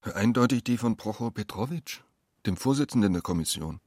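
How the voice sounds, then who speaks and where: An actor as a storyteller in a radio play, narrating deliberately and deadpan with a husky voice. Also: male voice, on the low side, no room sound, clean studio sound.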